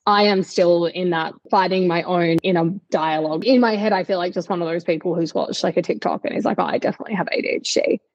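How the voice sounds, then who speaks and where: A woman's voice: lowish voice, fairly even intonation, fast talker, hardly any pauses, no echo. A woman of about thirty co-hosting a podcast, chatting casually and candidly in a long-winded storytelling way, recorded on a makeshift setup. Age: 30s. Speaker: podcast co-host. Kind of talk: casual conversational podcast chat. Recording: slightly dull makeshift mobile setup.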